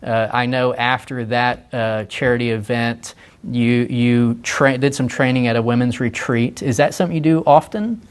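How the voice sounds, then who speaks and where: Male interview host, mid-range voice; easygoing, quick to laugh, follows up on answers. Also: medium pitch, steady tone, smooth. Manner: easygoing, laughs often, asks follow-up questions. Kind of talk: casual interview conversation.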